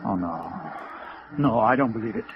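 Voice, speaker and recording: An actor playing a stunned, defensive American man in his thirties in a 1950s radio drama, speaking haltingly. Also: fairly low pitch, lively intonation. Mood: stunned disbelief. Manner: hesitant, halting. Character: stunned, defensive muscular male beach idler in his thirties. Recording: dull, band-limited vintage radio recording.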